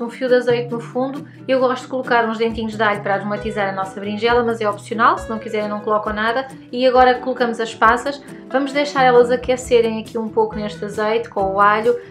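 A woman in her thirties with a European Portuguese accent, hosting a cooking tutorial and talking directly to her viewers in a friendly, instructive way. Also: on the high side. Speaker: cooking channel host. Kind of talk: recipe tutorial voice-over. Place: home room with bookshelves.

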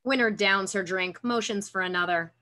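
A woman's voice: mid-range voice, normal speed, bright, forceful tone. A woman narrator reading stage directions as scripted narration on a video call. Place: room at home. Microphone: computer mic on video call.

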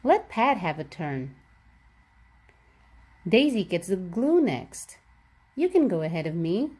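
A woman's voice: lowish voice, sing-song, slow pace, darkish tone, slightly rough, heavily acted. A woman voicing eager young schoolchildren in a picture book, spirited and chanting. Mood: friendly. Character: eager young schoolchildren rallying friends to play.

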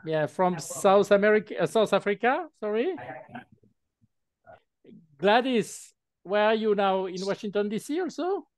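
A man's voice: high voice, big pitch swings, unhurried, long pauses, dry recording. A man co-hosting an online meeting, talking with many fillers and restarts over a video call. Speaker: meeting co-host.

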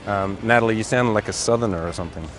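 A man's voice: fairly low pitch, quick pace. A man approaching a stranger on a city street, upbeat, chatty and teasing. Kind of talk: casual street chat. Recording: street clip with music over it.

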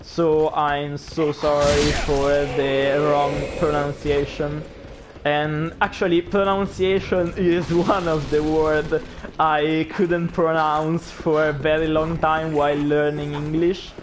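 A man's voice: on the high side, level tone, slow pace, background noise. A young man with an Italian accent, a non-native English speaker, chatting as he streams a game and reacting aloud to it. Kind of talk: gameplay commentary.

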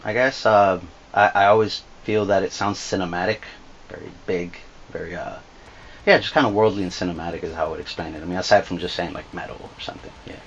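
A man from Chile, a bass player, speaking in an easygoing, casual way in a music studio. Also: steady tone, quick pace, muffled recording, a little hiss or hum. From Santiago, Chile. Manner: easygoing, laughing. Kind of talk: casual interview talk.

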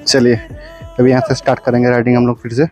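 A man's voice: medium pitch, completely flat delivery, fast, over loud music. A man, a vlogger giving plain matter-of-fact updates on a group ride.

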